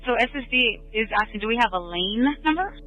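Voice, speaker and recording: A man's voice: extremely high pitch, expressive, a little fast, pushed and bright. A man passing procedural information over police radio. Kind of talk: radio call. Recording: tinny phone-like radio with faint music.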